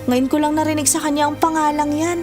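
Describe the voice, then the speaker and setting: A high, girlish voice from an actress plays a caring young Filipina student in an audio drama. She talks expressively and conversationally, a loyal friend, with music underneath. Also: level tone, quick pace, natural voice. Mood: reassuring. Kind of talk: Tagalog audio drama acting.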